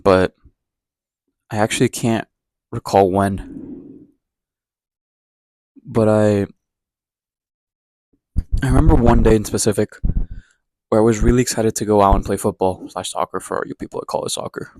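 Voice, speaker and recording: A young man from Ecuador with a somewhat low voice talks straight to the camera in a casual daily vlog. He rambles, circles back and pokes fun at himself, keeping the tone candid and unpolished. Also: steady tone, a little slow, pauses often, a little mellow, no echo, low-quality recording. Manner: rambling, self-deprecating, candid. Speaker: vlogger. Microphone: camera mic, talking to camera.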